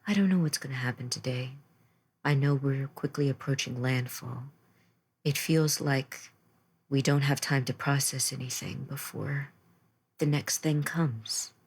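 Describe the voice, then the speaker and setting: A man plays an uneasy seafarer in a fantasy tabletop game. The crew member sounds husky and mid-pitched, worried yet reassuring. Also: a little slow.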